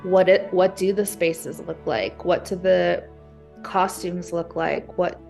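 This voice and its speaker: Animated woman brainstorming over music. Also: lowish voice, takes it slowly, vocal fry. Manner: animated, acts out imagined thoughts and exclamations. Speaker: guest being coached through writer's block. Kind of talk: casual brainstorming chat.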